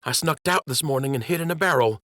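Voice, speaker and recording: A man's voice: mid-range voice, fast talker, a bit bright, no room sound, studio quality, voice pushed up, natural voice. A man voicing a young male rabbit prince who is eager and contrite, speaking in an earnest, pleading way in an audiobook.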